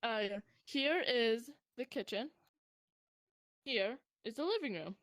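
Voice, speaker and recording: A young woman with a fairly high voice tells a story casually to a friend. Her intonation swings widely as she rambles and curses freely. The sound is dry, with very little room echo. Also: slow pace. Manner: animated, profane, rambling.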